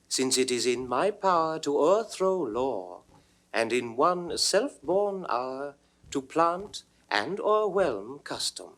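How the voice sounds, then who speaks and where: An actor playing Time as a stately, ageless chorus, speaking verse to the audience in a solemn, measured, declamatory manner. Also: male voice, a little high, a bit bright, full character voice. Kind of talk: 1960s classical verse recitation for audio drama.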